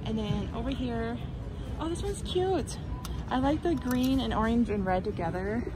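A woman's voice: fairly high pitch, animated, moderate pace, poor audio. A woman vlogger chatting casually and upbeat with lots of fillers as she films clothes on a phone inside a big store. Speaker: shopping vlogger. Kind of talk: casual shop-with-me narration. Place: inside a large retail store. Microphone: phone camera held in hand.

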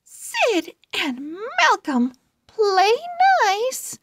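A woman voicing an earnest, fretful young owl schoolgirl from a storybook, speaking slowly and dramatically. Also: extremely high pitch, sing-song, fairly bright tone, no room sound, pitched above the performer's own voice, exaggerated voice. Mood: pleading.

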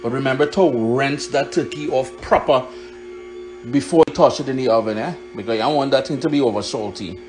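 A man's voice: mid-range voice, brisk. A man with a Caribbean accent and a mid-range voice chats through cooking steps for his followers. He is instructive and informal, with playful interjections, speaking into a phone in his kitchen. Faint music plays behind him. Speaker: home cook and cooking vlogger. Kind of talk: casual cooking demonstration to followers. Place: home kitchen. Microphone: handheld phone filming the food.

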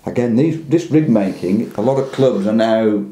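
A middle-aged man interviewing a fishing coach at a kitchen table, measured, summarising points and asking him to explain. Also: lowish voice, warm tone, reverberant. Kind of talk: prompting questions in a demonstration. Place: indoors, small home kitchen table. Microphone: lapel mic.

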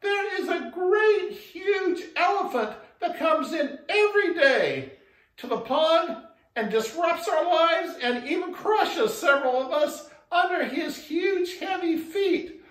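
A storyteller doing a chorus of squeaky pleading frogs. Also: expressive, slow pace, echoey room, heavily acted, low-quality recording. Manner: high, pleading, shouting. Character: chorus of small frightened frogs.

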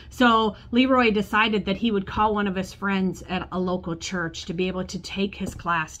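A woman in her forties, a pastor, preaching a sermon to camera from her office, telling a story in a warm conversational way. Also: medium pitch. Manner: storytelling, acts out quoted voices, gestures with hands. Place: church office with bookshelves, indoors.

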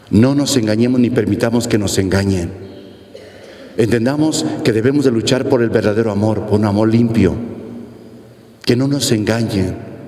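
Mexican priest preaching in an echoing church. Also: male voice, on the low side, warm tone. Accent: Mexican Spanish. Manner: earnest preaching with repeated phrases and gestures. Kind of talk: homily at Mass. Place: large church sanctuary, at the pulpit. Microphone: pulpit mic, medium camera shot. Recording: echoey church with long reverb.

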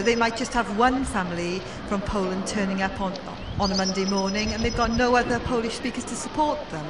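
A woman who heads an education trust explains in a plain, matter-of-fact way. She stands a little way off the mic, so she sounds distant and echoey, with heavy outdoor noise. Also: medium pitch. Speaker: education trust chief executive. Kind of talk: interview answers. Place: school playground outdoors.

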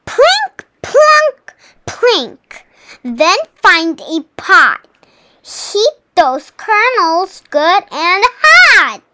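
Very high, childlike voice reading slowly. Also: wildly swooping pitch, heavy background noise. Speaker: children's read-aloud host. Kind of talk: animated, slow storybook read-aloud for early readers.

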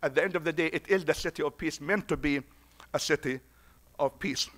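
A man's voice: on the high side, a little fast, a bit bright. A middle-aged man with a foreign accent, an imam giving a conference speech at a podium, preacher-like and repeating lines for emphasis. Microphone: podium mic.

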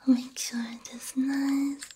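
Slow, whispering ASMR woman. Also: high voice, little pitch movement. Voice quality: breathy, mostly unvoiced whisper. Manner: gentle, soothing, reassuring. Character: caring technician repairing a robot's ears. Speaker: ASMR roleplay performer. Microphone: binaural ASMR microphone, very close. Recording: close binaural microphone, faint noise.

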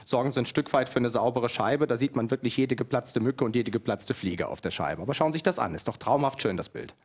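A man in his forties hosting a TV shopping show in a studio, talking straight to the viewers in a persuasive, husky voice on a headset mic. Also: medium pitch, fast talker. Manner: persuasive, addresses viewers directly. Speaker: TV shopping host. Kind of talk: teleshopping presentation. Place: TV studio set.

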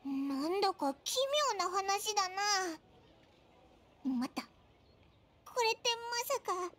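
A woman voicing a tiny, chirpy and excitable fairy companion in a game dub, with a squeaky, childlike voice and a blunt way of talking. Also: slow, exaggerated voice. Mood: suspicious. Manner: blunt, refers to herself as oira. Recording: music under the dialogue.

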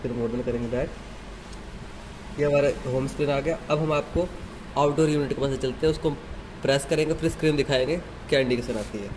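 A man with a mid-pitched voice walks through a setup step by step. He speaks in a plain, instructional, unscripted way. The handheld amateur recording has clear noise behind him. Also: brisk. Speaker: installer giving a product tutorial. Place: indoors in a room.

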